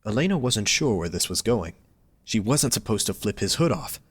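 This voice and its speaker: A man's voice: on the low side, animated, normal speed, breathy edge, dry recording. A man reading scripted story narration as the narrator of an audio drama.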